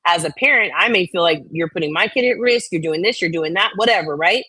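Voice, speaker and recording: A woman's voice: fairly low pitch, animated, quick pace, bright, forceful tone, slightly rough, no echo. A woman co-hosting a casual chat, reading out and reacting to comments in a blunt, opinionated way with some swearing.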